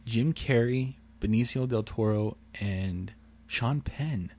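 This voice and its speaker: A man co-hosting a casual podcast chat, talking quietly and calmly, rambling with restarts and swearing. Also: mid-range voice, takes it slowly. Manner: quiet, calm delivery; rambling with frequent restarts and profanity. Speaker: podcast co-host.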